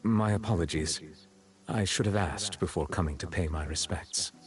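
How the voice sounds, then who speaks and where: A man voices a solemn chief justice for a video game. The voice is very deep, grave and formal. The delivery is measured and reserved, with faint music underneath. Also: lightly acted. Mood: stiffly apologetic.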